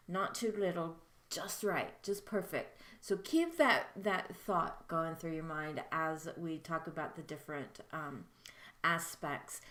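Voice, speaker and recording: A woman with a soft, slightly low voice talks her students through a lesson in a friendly, casual way. Her words come back off the walls of an echoey room. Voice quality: soft-spoken. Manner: friendly, chatty, with fillers. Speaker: mediumship teacher. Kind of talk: casual instructional talk to camera.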